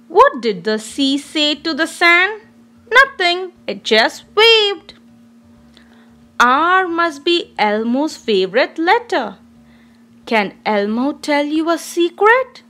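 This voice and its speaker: A woman reading a children's storybook aloud in an expressive, deliberate, child-directed way. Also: high voice. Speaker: storybook reader.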